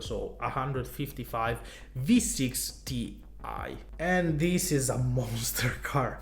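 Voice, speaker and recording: A man from Italy with an Italian accent, chatting enthusiastically to camera about cars in loose non-native English. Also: medium pitch. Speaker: car vlogger. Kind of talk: casual talk to camera.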